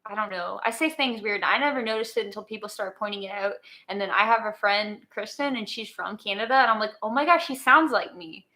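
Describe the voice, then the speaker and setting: A woman's voice: medium pitch, a little fast, hardly any pauses, bright, forceful tone. A woman co-hosting a casual chat, rambling with lots of fillers and restarts. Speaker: co-host.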